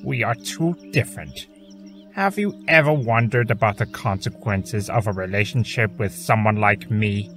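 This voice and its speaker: A man's voice: on the low side, moderate pace, fairly bright tone, soft background music, natural voice. A man playing a bitter, self-loathing creature of chaos in an audio drama, theatrical and volatile. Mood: cold, resigned. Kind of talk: fan audio drama acting.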